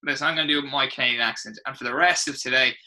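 A middle-aged man with an Irish accent, a rugby coach telling stories in an interview, expressive and full of restarts. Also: mid-range voice, racing. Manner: animated, expressive storyteller with many restarts. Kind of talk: interview storytelling.

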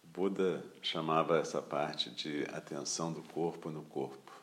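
A man with a Brazilian Portuguese accent leading a guided meditation as a teacher, calm and unhurried, speaking in short phrases with pauses. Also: rumbling low.

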